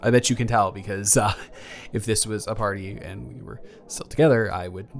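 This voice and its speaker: A man's voice: fairly low pitch, steady tone, fairly quick, creaky. Hesitant, rambling young ex-boyfriend played by a man. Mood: awkward, flustered. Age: young adult. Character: awkward, caring college-age ex-boyfriend, gentle and earnest. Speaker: audio roleplay performer. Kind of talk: improvised intimate boyfriend roleplay.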